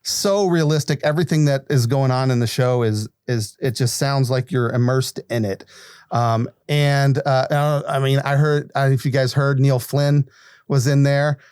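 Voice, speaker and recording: A man hosting a casual interview, speaking with effusive enthusiasm and pausing to gather his thoughts. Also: medium pitch, fairly even intonation, a little mellow, clear, resonant tone, no room sound, studio quality. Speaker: podcast host.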